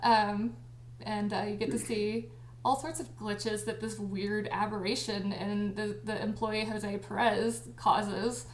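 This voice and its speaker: A giggly, excitable woman chatting casually on a livestream, often breaking into laughter. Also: mid-range voice, slow pace, reverberant, low-quality recording. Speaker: audio drama creator and cast party host. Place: indoors on a group livestream call.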